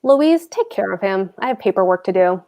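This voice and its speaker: A woman's voice: mid-range voice, animated, a little fast, dark tone, close to an everyday voice. Woman as a blunt stubborn husband in a comedy play read, clipped lines, voice jumps around a lot. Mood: brusque. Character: brusque, stubborn husband and landlord, matter-of-fact. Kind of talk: comic play table read.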